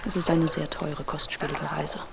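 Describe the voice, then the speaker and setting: Reproachful wife, noisy backdrop. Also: female voice, low-pitched, level tone, normal speed, vocal fry. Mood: quietly reproachful. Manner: sarcastic, probing. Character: hurt, reproachful wife on an Alaskan wilderness trip. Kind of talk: naturalistic German radio drama acting.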